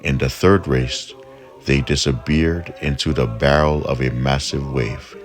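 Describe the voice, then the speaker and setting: Very deep, slow male storyteller. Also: fairly even intonation, soft background music. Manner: measured, deliberate storytelling. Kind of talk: scripted folk-tale narration.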